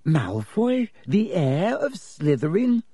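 A man's voice: on the high side, animated, a little mellow, husky, dry recording, clean studio sound, voice pushed up. A man voicing an earnest, cautious and skeptical schoolgirl in an audiobook. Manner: quick, cautious, measured.

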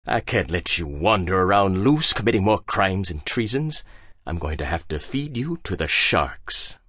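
A stern, manipulative ship captain, put on by a male narrator in an audiobook. He speaks low and hushed with slow, measured menace and a wide-ranging delivery, recorded dry and close. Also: expressive, husky, dry recording, heavily acted. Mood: menacing. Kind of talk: audiobook character voice.

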